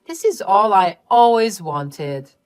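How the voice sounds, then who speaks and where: A woman voicing a self-pitying young adult sister who pleads and defends herself in a high, strained voice. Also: sing-song, slow, a little mellow, clean tone. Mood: pleading.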